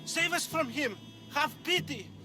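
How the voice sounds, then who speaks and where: A man's voice: very high-pitched, animated, a little slow. An actor playing a terrified male villager who pleads desperately in a video game cutscene, with music behind. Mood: terrified pleading.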